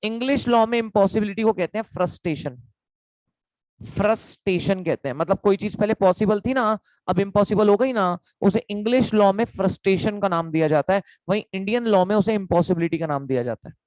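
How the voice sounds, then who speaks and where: Hoarse young Indian man, chatty tutor. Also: high voice, brisk, a little mellow, no room sound, low-quality recording. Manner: chatty, repetitive, calls students beta. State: hoarse, sore throat. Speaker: online law tutor. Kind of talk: live online lecture.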